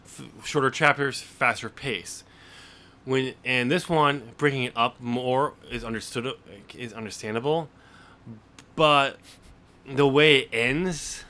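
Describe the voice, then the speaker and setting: Male podcast host and writer, bright forward voice, mid pitch, relaxed conversational discussion. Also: slow pace. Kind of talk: casual discussion.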